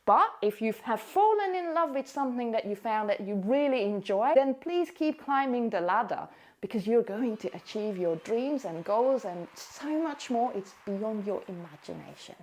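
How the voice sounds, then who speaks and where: A woman's voice: fairly high pitch, lively intonation, normal speed. A woman in her forties giving an encouraging talk to camera as a hula hoop fitness instructor. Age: 40s. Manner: upbeat, encouraging, coaxing.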